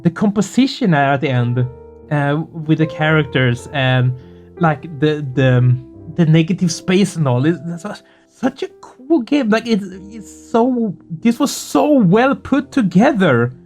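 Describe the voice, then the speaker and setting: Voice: medium pitch, sing-song, measured pace, darkish tone, soft background music. A gaming video host chatting casually and effusively, earnest and prone to swearing. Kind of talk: casual excited chat after a game playthrough.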